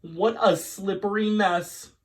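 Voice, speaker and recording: A man's voice: sits high, steady tone, slow, slight background noise, pitched above the performer's own voice. A man voicing a kindly, weary older woman from a children's storybook, with exclamatory, singsong reassurance. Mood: dismayed.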